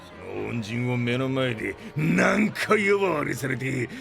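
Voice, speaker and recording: Gruff, gravelly, blustery spaceship captain. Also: male voice, mid-range voice, big pitch swings, unhurried, music in the background, heavily acted. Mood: angry, indignant. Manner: blustery, rough-spoken. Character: gruff, loud, hot-tempered middle-aged male spaceship captain. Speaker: ship captain. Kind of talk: Japanese audio drama acting.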